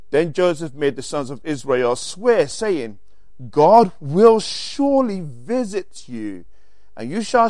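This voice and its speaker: A man's voice: on the high side, no echo, clean studio sound. A man with an accent teaching a sermon to a congregation, reading scripture aloud and correcting himself as he goes. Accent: non-native English. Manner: animated, reads scripture aloud, self-correcting asides. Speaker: preacher.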